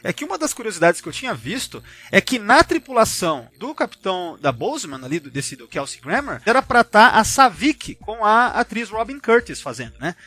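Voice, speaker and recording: A man's voice: high voice, big pitch swings, a little fast, hardly any pauses, sharp tone. A Brazilian man hosting a casual fan discussion, rambling and slangy, constantly tagging his sentences with little check-in questions. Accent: Brazilian Portuguese. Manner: informal, rambling, slangy, frequent tag questions. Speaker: podcast host.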